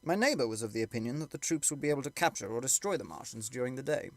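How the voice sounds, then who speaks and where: Male narrator for classic fiction, mid-pitched, very dry recording, scripted literary delivery. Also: expressive, rapid-fire, no room sound. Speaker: audiobook narrator.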